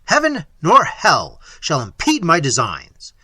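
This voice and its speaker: Man playing a domineering prince, forceful, husky, loud, commanding, storybook tyrant. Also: on the high side, wildly swooping pitch, a little slow, no room sound, clean studio sound, full character voice. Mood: defiant. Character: tyrannical lordly middle-aged prince, imperious.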